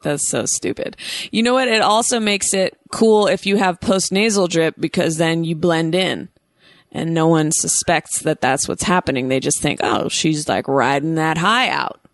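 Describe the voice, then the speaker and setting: A woman's voice: low voice, moderate pace, dry recording. An American woman in her thirties hosting a show and chatting in a playful, bubbly, goofy way. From United States. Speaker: host. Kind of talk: casual chat.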